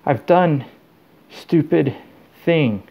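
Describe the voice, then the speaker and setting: A man voicing a deranged, exhausted older official, halting and breathy, gasping his words out in desperation. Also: fairly high pitch, sing-song, lo-fi sound, voice pushed up, heavily acted. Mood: gasping, desperate. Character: deranged exhausted older male ministry official, frantic, muttering.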